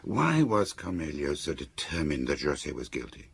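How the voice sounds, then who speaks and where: Low, gravelly, inquisitive confidant. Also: male voice, sing-song, normal speed, exaggerated voice. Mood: pensive, questioning. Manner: questioning, pensive. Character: thoughtful, inquisitive male confidant of a detective. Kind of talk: radio drama dialogue.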